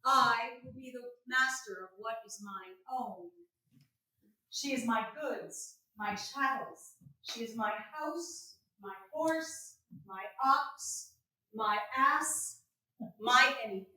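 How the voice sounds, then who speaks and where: A woman playing a brash, domineering bridegroom in a staged reading of Shakespearean comedy, declaiming forcefully. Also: fairly high pitch, slow, exaggerated voice. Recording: very echoey room from far off.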